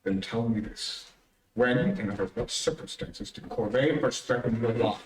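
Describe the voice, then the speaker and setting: Mysterious radio crime fighter male actor: commanding, deliberate, medium pitch, distant roomy sound. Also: sing-song, slight background noise. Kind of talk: old-time radio mystery acting. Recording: roomy, distant amateur stream audio.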